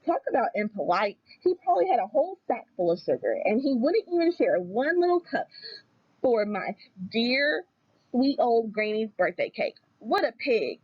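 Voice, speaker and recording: An aggrieved wolf from a storybook, acted out by a woman, pleading his case with folksy mock innocence. The voice sits fairly high and swings around in an animated way, recorded dry and a little dull. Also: normal speed, slightly rough, no echo. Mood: indignant, self-justifying. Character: aggrieved, self-justifying storybook wolf telling his side. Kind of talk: readers theater storytelling.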